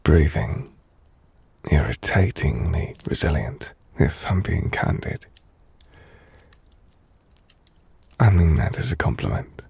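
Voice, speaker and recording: A man playing a brooding, gentle, melancholy vampire-blooded castle lord in an intimate ASMR audio roleplay, hushed and husky, speaking slowly in measured, formal phrases. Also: a bass voice, sing-song, no room sound, exaggerated voice. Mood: tender, concerned. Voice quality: gravelly, husky, breathy.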